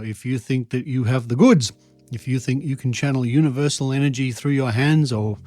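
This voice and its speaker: An Australian man hosting a podcast with wry, informal commentary. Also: mid-range voice. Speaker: podcast host. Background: music.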